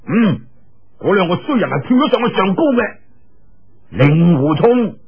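A man with a Cantonese accent voicing a scheming, menacing older villain in a martial-arts audiobook, with drawn-out taunts. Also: high-pitched, sing-song, husky, muffled recording, voice pushed up, heavily acted. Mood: taunting.

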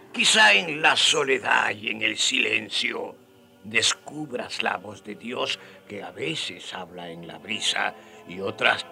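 A man's voice: fairly low pitch, gravelly, sharp tone, heavily acted. An actor playing a solemn, fatherly friar who heads a monastery, counselling in a preacherly radio drama manner. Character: solemn, fatherly Dominican prior, counselling and exhorting.

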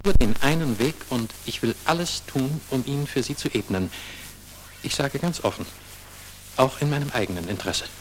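An actor playing an earnest, anxious older industrialist who speaks formally and pleadingly in a German radio drama. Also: male voice, mid-range voice, moderate pace, lightly acted, rough recording. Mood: urgent, pleading. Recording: faintly hissy 1960s mono radio recording.

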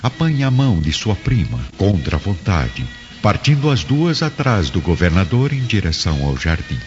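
A man's voice: sits low, sing-song, moderate pace. A man narrating a radio drama in a solemn, theatrical scripted style. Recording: dull lo-fi recording, music underneath.

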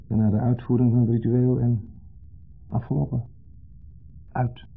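An actor playing a wistful, sentimental divorced man in a 1970s Dutch radio play, speaking haltingly and reflectively in short fragments. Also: mid-range voice, flat delivery, creaky. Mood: wistful, regretful. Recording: muffled, lo-fi 1970s radio recording.

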